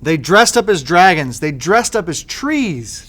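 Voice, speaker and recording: A man reading to children as a storybook narrator, expressive and sing-song with the rhymes. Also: on the high side, a little slow. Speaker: school superintendent reading to children.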